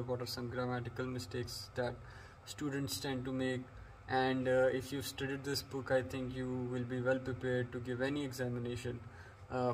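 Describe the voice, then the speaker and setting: A man's voice: mid-range voice, slight background noise, rough recording. A man from Pakistan giving an unscripted English lesson, rambling with many fillers and restarts. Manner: flat delivery. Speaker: English teacher. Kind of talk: unscripted lecture.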